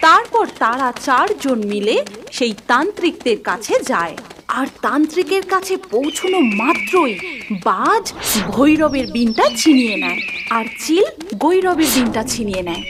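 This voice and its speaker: High, animated female storyteller. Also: background noise. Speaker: narrator. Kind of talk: scripted storybook narration for a cartoon.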